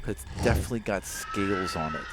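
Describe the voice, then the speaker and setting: A man's voice: low-pitched, fast talker, heavy background noise. A man narrating a tabletop game as game master, improvising dramatic descriptions with casual asides. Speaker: game master and player on an actual play podcast.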